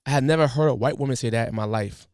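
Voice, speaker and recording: A man's voice: medium pitch, fast talker, clear, resonant tone, no room sound, studio quality. Slangy ranting man on a podcast. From United States. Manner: animated, preachy, colloquial slang. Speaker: podcast host. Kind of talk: casual podcast rant.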